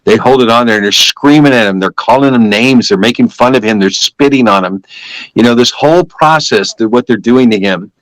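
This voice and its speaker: Older guy telling his religious testimony, emphatic, keeps repeating stuff, mid pitch, close dry sound. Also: brisk, fairly bright tone, dry recording, rough recording. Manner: emphatic, repetitive, conversational storytelling. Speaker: podcast guest giving testimony.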